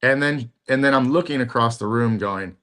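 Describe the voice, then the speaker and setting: A middle-aged man co-hosting a podcast in casual chat, talking in halting bits with lots of fillers and restarts. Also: mid-range voice, a bit bright. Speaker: podcast co-host.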